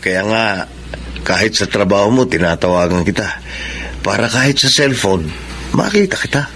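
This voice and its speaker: A sweet-talking man courting a friend in a radio drama. His voice sits fairly low, with faint background noise. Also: expressive, moderate pace, a bit bright. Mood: sweet, coaxing. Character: Mark, attentive, sweet-talking male suitor and friend. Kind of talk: Filipino radio drama acting.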